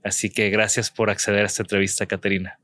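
A man's voice: on the low side, level tone, quick pace, pushed and bright, no room sound. A man hosting a conversational interview. He rambles through long questions, restarting phrases and adding little question tags. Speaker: podcast host.

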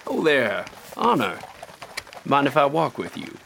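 A young Southern rogue, cocky yet brooding, coaxing in a teasing drawl. He has a middling pitch, in a 1930s-style radio drama with faint noise behind. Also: wildly swooping pitch, measured pace, gravelly, a bit bright, exaggerated voice. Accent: American South. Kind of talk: old-time radio drama acting.

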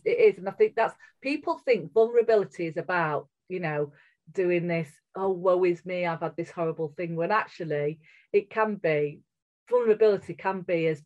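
Middle-aged woman, northern English accent, candid podcast guest, low-ish voice, dull lo-fi sound. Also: a little mellow. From England. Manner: earnest, candid, with frequent restarts. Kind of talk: conversational podcast interview. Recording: muffled, lo-fi audio.

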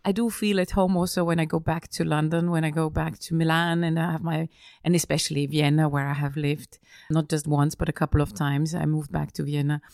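Low gravelly woman from Austria. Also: flat delivery, fairly quick, darkish tone, no echo, clean studio sound. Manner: hesitant, many fillers and restarts. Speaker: interview guest, business executive. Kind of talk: casual interview conversation.

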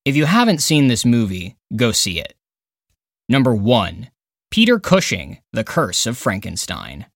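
A man's voice: medium pitch, huge pitch swings, unhurried, no room sound, clean studio sound. A man reading a scripted review countdown as a podcast narrator and critic, with an emphatic, opinionated, dramatic way of phrasing.

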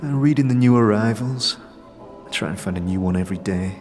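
A man puts on a gruff, bull-necked fantasy commoner, resigned and wry. He talks low in street slang, with music clearly playing behind him. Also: a little fast, darkish tone, lightly acted. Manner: slangy, plain-spoken, resigned. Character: gruff, rueful working man with thick bullish neck. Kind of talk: fantasy audio drama acting.

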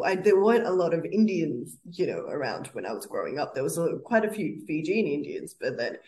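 Female podcast co-host, slightly low pitch, relaxed and reflective in conversation. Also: poor audio. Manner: attentive listener, frequent laughs, reflective. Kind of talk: casual chat.

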